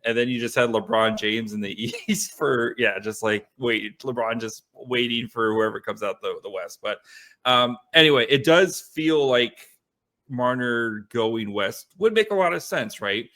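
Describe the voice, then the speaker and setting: Guy co-hosting a sports pod, average pitch, super casual, kinda rambles through his takes. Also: animated, measured pace, pushed and bright. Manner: rambling, loose, peppered with "like" and "right". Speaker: sports podcast co-host. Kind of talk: casual, chatty sports analysis.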